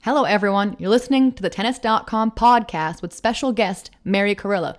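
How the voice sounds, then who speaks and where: A woman with a mid-range voice reads a scripted podcast introduction. Her delivery is even and presenter-like as she announces the guest. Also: mid-range voice, brisk.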